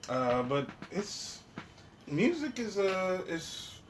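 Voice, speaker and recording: Casual man chatting over clear background noise. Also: high voice, very animated, very slow. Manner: profane, slangy, informal talk. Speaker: podcast host. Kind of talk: casual podcast chat.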